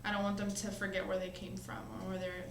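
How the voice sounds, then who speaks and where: A woman in her twenties, a college student, telling her own story in a relaxed, reflective interview, often pausing with "um" and "you know". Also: mid-range voice, background noise. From born in Syria, raised in the US. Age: 20s. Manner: conversational, reflective, frequent "um" and "you know" fillers. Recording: roomy echo.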